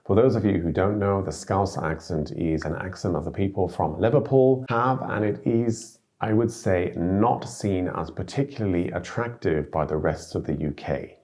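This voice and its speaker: Low-voiced Englishman with dry wit. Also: moderate pace, hardly any pauses, dark tone. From Britain. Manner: dry, wry asides. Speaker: vlogger. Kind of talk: scripted commentary to camera.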